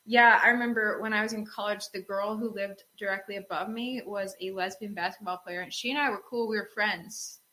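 A young woman co-hosting a casual podcast chat, telling relaxed stories and laughing often. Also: medium pitch, a little fast, hardly any pauses, a little hiss or hum, low-quality recording. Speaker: co-host.

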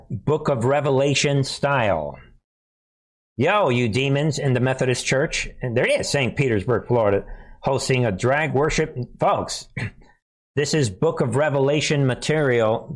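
Slow, alarmist male commentator. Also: mid-range voice. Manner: alarmist, preachy, pausing between short phrases; mocking, sarcastic asides. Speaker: host and commentator. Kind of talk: informal opinion commentary addressed to viewers.

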